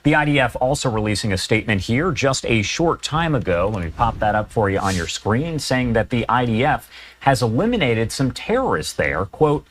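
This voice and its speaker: A man anchoring live television news, reading reports in a measured and emphatic way. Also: mid-range voice, very animated, a little hiss or hum. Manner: measured, emphatic newsreader delivery.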